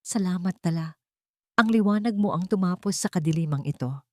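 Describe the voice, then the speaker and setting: A woman's voice: lowish voice, fairly quick, no room sound, clean studio sound, close to an everyday voice. A woman voicing a tender elderly grandmother. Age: older. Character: elderly grandmother, gentle and grateful.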